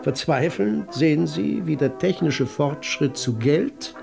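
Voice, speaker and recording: A man's voice: fairly high pitch, slow, gravelly, over music, full character voice. A man performing as a grave literary narrator in a radio drama. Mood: grave narration.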